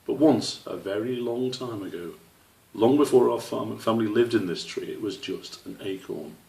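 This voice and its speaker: A man voicing an old father squirrel in a children's story, stern but patient, speaking in a measured, gently questioning way. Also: fairly low pitch, vocal fry, pitched above the performer's own voice. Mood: wise, instructive. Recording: roomy, slightly distant microphone.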